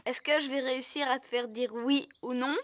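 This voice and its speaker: A woman's voice: sits high, steady tone, moderate pace, fairly bright tone. A woman playing a cocky, competitive young boy squirrel in a French children's cartoon, boastful and childlike.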